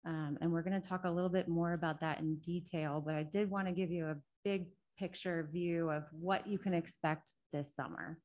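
Soft-spoken woman with a lowish voice, project manager updating the council, lots of ums, table mic. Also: steady tone, moderate pace, hardly any pauses, highs cut off. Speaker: state transportation project manager. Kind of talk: public meeting presentation. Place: city council chamber. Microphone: table microphone.

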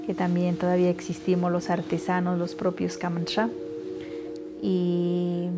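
A woman's voice: on the low side, monotone, unhurried, music in the background. A middle-aged woman from Putumayo, Colombia, a weaver earnestly explaining her craft in a documentary interview.

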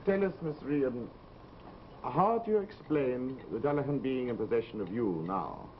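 An actor playing a grave, courteous middle-aged doctor in an old television drama, with formal, polite phrasing. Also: male voice, mid-range voice, expressive, normal speed, lightly acted. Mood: courteous, coaxing. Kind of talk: 1950s live television drama acting. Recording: noisy, muffled old TV soundtrack.

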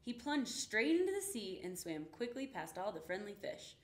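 A young woman reading a children's picture book aloud in an expressive storytelling way. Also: medium pitch, moderate pace. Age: young adult. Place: indoor room with painted rainbow backdrop. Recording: roomy, echoing indoor sound.

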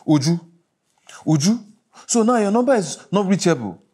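A man's voice: high voice, expressive, normal speed, darkish tone, husky. A male actor with a Nigerian accent playing a harried, exasperated father in a screen drama, repeating himself as he pleads and scolds. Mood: angry. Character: harried, exasperated father struggling alone with his children. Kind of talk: naturalistic screen drama acting.

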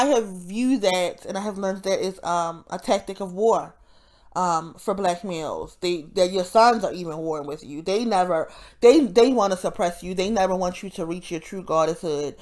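A woman with a nasal, congested voice talking in a casual, rambling monologue, swearing often and breaking off into laughs. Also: lowish voice. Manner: profane, rambling, frequent self-interrupting laughs. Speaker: vlogger.

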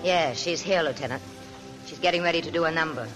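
A man's voice: on the high side, fast talker, over music, natural voice, low-quality recording. An actor playing a worldly, fatherly nightclub owner in a 1940s radio drama. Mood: knowing.

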